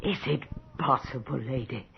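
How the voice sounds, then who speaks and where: A woman's voice: very deep voice, moderate pace, gravelly, husky, heavily acted. A woman playing a deferential, sly servant to a countess in a radio horror drama, with a hesitant manner. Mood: cautious, insinuating. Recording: muffled, faintly noisy old radio recording.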